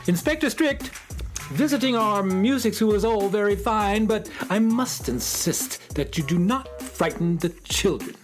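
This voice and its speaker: A man's voice: high-pitched, expressive, unhurried, faint music behind. A man playing an earnest, courteous male schoolteacher in a children's audio drama, speaking politely. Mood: firm, polite.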